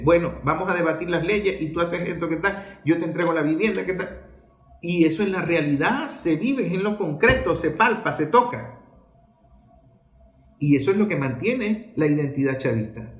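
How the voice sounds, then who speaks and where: A man with a Venezuelan accent giving impassioned, informal political commentary in an emphatic, didactic way, often asking questions and answering them himself. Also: high voice. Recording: roomy, echoing sound.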